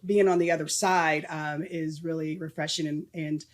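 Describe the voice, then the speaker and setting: A woman's voice: low voice, little pitch movement, normal speed. A middle-aged woman from Southern California, a guest talking casually in a podcast interview, with many ums and restarts and easy laughter. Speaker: guest, nonprofit executive.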